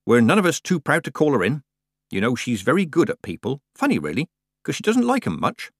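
A man voicing a brisk, cheeky elderly village witch woman in an audiobook, with a folksy, blunt, teasing manner. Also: mid-range voice, wildly swooping pitch, dry recording, clean studio sound, voice pushed up. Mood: chatty, amused. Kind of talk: audiobook character voice.

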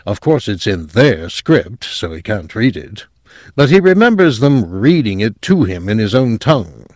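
A man's voice: fairly low pitch, very animated, unhurried, no echo. A fussy male technician from an audiobook, acted by a male narrator. He explains in a pedantic, self-satisfied way, heard close in a dry recording. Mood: brisk, assured. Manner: pedantic, explanatory, hesitant throat-clearing. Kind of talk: audiobook character voice.

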